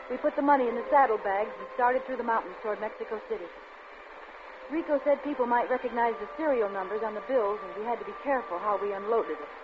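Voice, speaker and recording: A woman's voice: a little high, natural voice. An actress playing a scheming, vengeful and seductive woman crook in a 1940s radio suspense drama, narrating her own crime. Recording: dull, noisy old radio recording.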